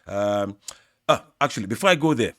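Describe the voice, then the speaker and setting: A Nigerian man, a native Yoruba speaker, hosting his own football channel and giving measured, opinionated answers straight to his fans. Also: lowish voice, lively intonation, a bit bright, no room sound, studio quality. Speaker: sports channel host. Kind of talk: football opinion talk to camera.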